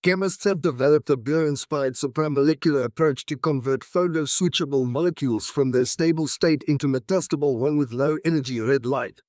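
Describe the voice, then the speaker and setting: A man's voice: on the high side, fairly quick, hardly any pauses, a little mellow, dry recording, studio quality. A man reading scripted academic passages aloud as exam practice.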